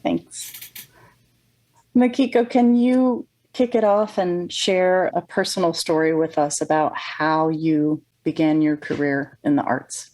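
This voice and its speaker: A woman hosting an interview, first reading out a formal guest introduction and then asking questions in a measured, encouraging way. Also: deep voice, fairly even intonation, a little mellow, poor audio. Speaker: interview host.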